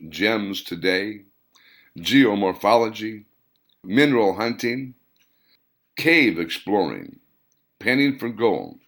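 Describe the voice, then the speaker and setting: A man reading his own novel as an audiobook in a deliberate, phrase-by-phrase narration. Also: lowish voice, very animated, a bit bright. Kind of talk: scripted fiction narration.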